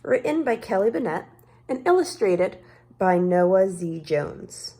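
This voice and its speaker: Slow, expressive woman reading a children's story. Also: mid-range voice. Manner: deliberate, dramatic emphasis with drawn-out words. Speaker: storybook reader. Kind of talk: expressive children's read-aloud narration.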